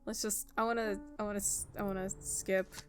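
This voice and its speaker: A woman's voice: medium pitch, brisk. Woman playing a game over music. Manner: informal, expressive, thinking aloud. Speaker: gaming video host. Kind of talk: visual novel playthrough, reading aloud and commenting.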